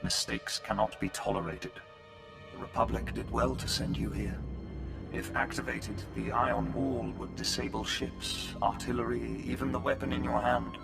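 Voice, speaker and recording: A man playing a haughty, menacing villain in a video game, speaking in a measured, condescending and coolly threatening manner. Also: on the low side, gravelly, exaggerated voice. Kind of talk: dramatic video game dialogue. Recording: loud music behind.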